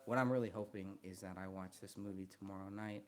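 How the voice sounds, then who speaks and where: A soft-spoken man chatting casually on a live stream, hesitant, with many ums and restarts, close to the mic. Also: deep voice, fairly even intonation, fast, a little hiss or hum.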